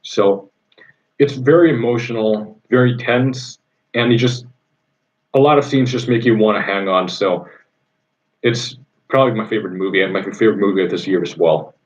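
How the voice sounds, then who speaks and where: A man's voice: fairly low pitch, steady tone, normal speed. Chatty young man, rambling, muffled sound. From Indonesia. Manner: rambling, lots of ums, chatty and enthusiastic. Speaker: livestream host answering viewer questions. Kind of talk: casual livestream chat. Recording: dull lo-fi sound.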